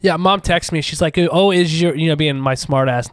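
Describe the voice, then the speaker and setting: A young man from Ohio co-hosting a podcast, telling a story in casual chat, animated and profane, a bit nasal and recovering from a sore throat. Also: on the high side, a little fast, dry recording, clean studio sound. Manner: profane, animated storyteller, calls everyone dude. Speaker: podcast co-host.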